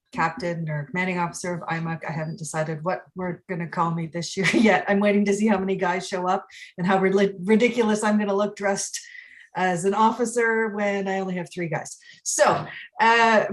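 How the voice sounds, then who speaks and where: A woman, a unit's commanding officer, giving a chatty, self-mocking report on a call. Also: fairly low pitch. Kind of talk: casual online meeting report.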